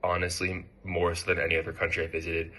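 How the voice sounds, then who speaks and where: A young American man in his twenties, speaking about his internship in a relaxed, hesitant way with frequent fillers. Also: sits low, fast. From United States. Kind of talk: casual testimonial.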